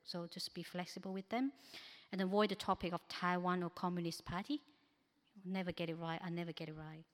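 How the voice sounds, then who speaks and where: A woman's voice: on the low side, level tone, a little fast. A woman whose first language is not English gives a practical, advice-giving presentation with slides at a church ministry training, with frequent hesitations. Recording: echoey hall with long reverb.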